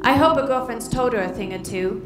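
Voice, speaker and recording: An actress plays a middle-aged mother turning over her sorrow in a rueful monologue. Her voice sits fairly high. Echoey old film soundtrack, with orchestral score underneath. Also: fairly quick, music in the background, natural voice. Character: tearful middle-aged mother before her daughter's wedding.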